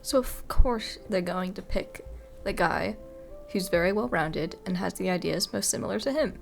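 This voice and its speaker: A woman's voice: medium pitch, moderate pace, vocal fry, soft background music. A woman giving casual playthrough commentary on a video game, with wry little asides. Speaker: gaming video creator.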